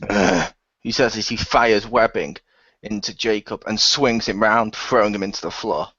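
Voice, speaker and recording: A man's voice: fairly low pitch, moderate pace, a bit bright, husky, dry recording. A man narrating action in an improvised, amateur radio play and slipping into character lines. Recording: dull lo-fi voice recording.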